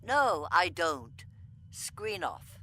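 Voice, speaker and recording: A woman's voice: lowish voice, sing-song, very slow, bright, forceful tone, slight background noise, exaggerated voice. A woman playing a proud, haughty Romulan commander in a sci-fi audio drama, curt and commanding.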